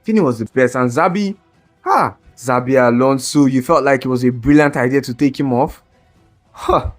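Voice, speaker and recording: A man's voice: mid-range voice, normal speed, faint music behind. A young man ranting in a heated, exasperated way about a football match, stumbling over restarts and straining his voice. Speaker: football fan vlogger. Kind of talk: ranting reaction video.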